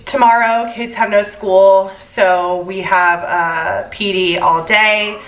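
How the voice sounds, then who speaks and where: A woman's voice: mid-range voice, steady tone, takes it slowly, fairly bright tone. A young woman teacher, home from work, talking tiredly with a stuffy, congested voice. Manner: chatty, tired, filler-heavy. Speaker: elementary school teacher. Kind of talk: casual vlog chat. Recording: echoey room, mic at a distance.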